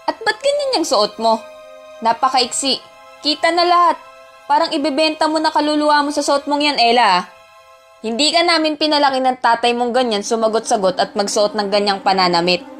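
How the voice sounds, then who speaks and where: A stern, old-fashioned Filipino mother, acted by a woman with a fairly high voice, scolding as in a homemade radio drama. Music runs underneath. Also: moderate pace, a bit bright, close to an everyday voice. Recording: music bed behind dialogue.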